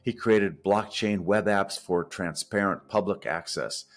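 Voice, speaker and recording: A man hosting a podcast, reading a scripted introduction of his guest in a steady, announcing manner. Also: low voice, measured pace. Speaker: podcast host.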